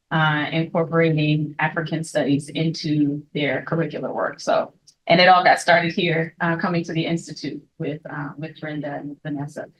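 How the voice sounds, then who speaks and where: Cheerful lower-voiced woman consultant. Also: a bit bright, rough recording. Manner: cheerful, chuckling. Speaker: education consultant. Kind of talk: introducing herself in a group.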